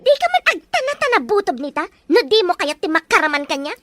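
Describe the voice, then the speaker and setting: A woman acts out a scheming, cruel mistress in a melodramatic audio drama. She speaks very high with big swings, bossing and mocking. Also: sing-song, quick pace, a bit bright, slight background noise, heavily acted. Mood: cruel mockery. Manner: domineering, mocking.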